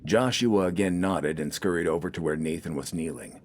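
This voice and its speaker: A man's voice: deep voice, normal speed, a little hiss or hum. A man reading scripted fiction as an audiobook narrator.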